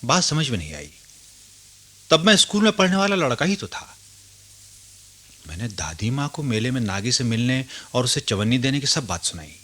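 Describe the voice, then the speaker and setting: Animated, bright-voiced male storyteller. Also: medium pitch, normal speed, long pauses. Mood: reflective. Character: grown grandson narrator, reflective householder, father of two. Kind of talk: dramatic radio play narration.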